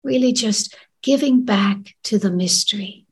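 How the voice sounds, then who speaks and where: A woman leading a gentle guided meditation in a soft, soothing, breathy voice that often trails into a whisper. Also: medium pitch, unhurried. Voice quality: breathy, husky.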